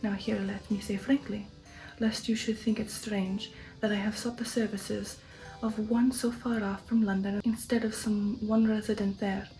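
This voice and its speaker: A woman voicing a commanding, formal old count in an ASMR storybook reading, with deliberate phrasing. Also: medium pitch, flat delivery, dark tone, soft background music, close to an everyday voice, poor audio. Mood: formal, persuasive. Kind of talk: ASMR audiobook character reading.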